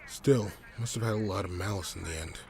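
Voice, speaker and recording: A man playing a gruff, cynical police detective in an audio drama, terse and brusque with a gravelly voice. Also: sits low, fairly quick. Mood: grim, determined. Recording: noisy scene, siren ambience behind.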